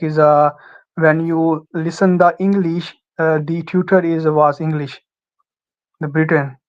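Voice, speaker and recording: A young man from Pakistan with a Pakistani accent, Urdu his first language, giving halting advice as a guest with many fillers and restarts. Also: on the high side, clear, resonant tone, muffled recording. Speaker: guest, former student. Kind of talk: casual interview advice.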